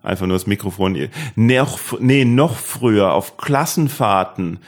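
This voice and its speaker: A man's voice: on the low side, a little slow. A man from Germany hosting a podcast in casual chat, often repeating himself as he searches for words. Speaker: podcast host.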